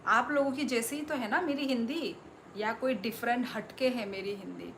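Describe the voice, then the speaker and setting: A woman's voice: fairly high pitch, normal speed, fairly bright tone, slight background noise. A young woman from Tripura with a Northeast Indian accent, chatting casually on a live stream while reading viewer comments aloud. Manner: chatty, reads out viewer comments and thanks them.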